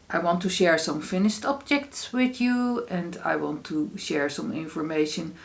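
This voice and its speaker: A woman's voice: on the low side, vocal fry. A woman from the Netherlands with a Dutch accent, speaking English as a second language as she hosts a casual solo craft podcast, with many fillers and restarts. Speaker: podcast host.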